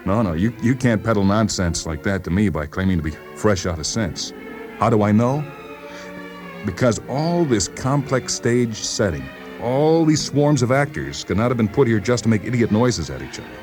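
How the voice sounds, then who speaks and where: A man playing an agitated, paranoid patient in a dramatized story, insistent, halting and repeating himself. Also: medium pitch, darkish tone, lightly acted. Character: agitated paranoid male patient convinced the world conspires against him. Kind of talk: dramatized short story reading.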